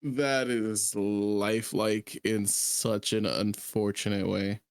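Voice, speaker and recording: A man's voice: on the low side, flat delivery, takes it slowly, clean tone. A man co-commentating on a livestream in casual, slangy reaction chat with some swearing.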